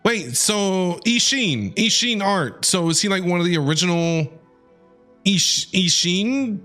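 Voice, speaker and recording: Animated high-pitched male game streamer. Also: takes it slowly, soft background music. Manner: animated, expressive. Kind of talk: casual live gameplay reaction, reading dialogue aloud.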